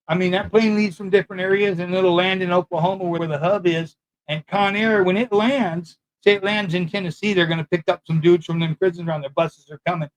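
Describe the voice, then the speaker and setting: A man who served prison time, talking into a mic in a loud voice with blunt, profane street talk and a rambling manner. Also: high voice, low-quality recording. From California. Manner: rambling, loses his thread. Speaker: former prisoner sharing prison know-how. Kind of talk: casual monologue to camera.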